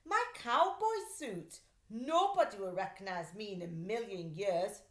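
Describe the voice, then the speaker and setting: A woman's voice: medium pitch, expressive, unhurried, lightly acted. A woman voicing an eager, confident storybook boy in a keen, emphatic manner. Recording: echoey room.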